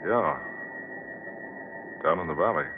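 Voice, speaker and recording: A doubting, bewildered motorist in a vintage radio thriller. His plain, questioning speech rises and falls in an animated way. The sound is thin and tinny with slight background noise. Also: low-pitched, slow. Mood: curious, uneasy. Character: skeptical, bewildered red-haired male motorist. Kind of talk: 1940s radio drama acting. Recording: tinny, lightly noisy vintage radio recording.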